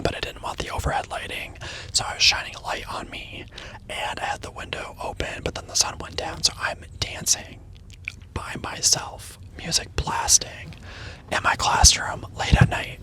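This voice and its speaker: Whispering man, bright and lively. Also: normal speed. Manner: soft unvoiced whisper, casual and rambling with many "like" and "um". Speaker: teacher. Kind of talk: whispered ASMR storytime. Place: parked car. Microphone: microphone on the dashboard.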